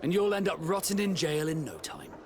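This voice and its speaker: An actor playing a proud, hot-headed sea captain in a video game. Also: male voice, fairly high pitch, huge pitch swings, fairly quick. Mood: defiant. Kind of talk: video game cutscene acting. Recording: clear background ambience noise.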